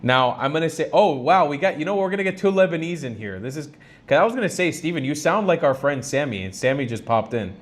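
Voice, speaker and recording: A man's voice: a little high, animated, fast talker. Blunt, emphatic male live stream host. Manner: emphatic, blunt, sweary, argumentative. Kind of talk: opinionated live call-in discussion.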